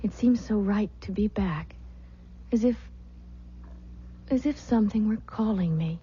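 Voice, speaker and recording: An actress playing a trusting, easily frightened young bride-to-be in a radio drama. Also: female voice, medium pitch, unhurried, dark tone, breathy edge. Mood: uneasy. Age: young adult. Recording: muffled old radio recording.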